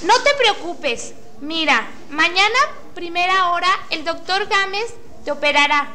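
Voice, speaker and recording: A woman playing a young, brisk, reassuring hospital nurse in a student radio drama. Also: very high voice, animated, pushed and bright, full character voice. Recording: faint noise, amateur radio drama recording.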